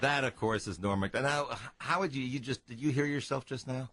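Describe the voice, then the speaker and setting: A man hosting a live call-in radio show, teasing and quizzing his guest with brash, fast questions. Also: medium pitch, very noisy. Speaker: radio talk show host.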